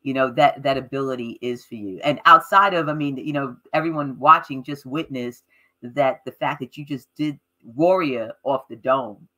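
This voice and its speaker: A woman's voice: a bass voice, normal speed, bright, forceful tone, low-quality recording. A woman hosting a live interview over a video call, speaking with enthusiasm and emphasis. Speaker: host. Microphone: webcam on a video call.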